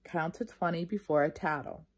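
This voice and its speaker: A woman's voice: low voice, normal speed. A woman voicing a grumpy, bossy little first-grade tattletale in a storybook, emphatic and indignant. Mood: grumpy reciting.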